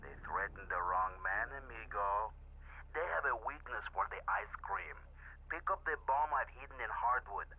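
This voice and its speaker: A man's voice: mid-range voice, close to an everyday voice. Man playing a bombastic gangster on a tinny phone. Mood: boastful, menacing. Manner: pompous, theatrical, florid. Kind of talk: video game phone-call monologue. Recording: tinny, noisy phone line.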